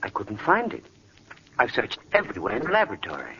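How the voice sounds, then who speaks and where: Animated, worried old-radio doctor. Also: male voice, lowish voice, quick pace, gravelly, exaggerated voice. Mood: ominous. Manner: earnest, dramatic, urgent. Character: worried, earnest male hospital doctor confiding a secret. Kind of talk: 1940s radio drama acting. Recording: muffled, faintly noisy old radio recording.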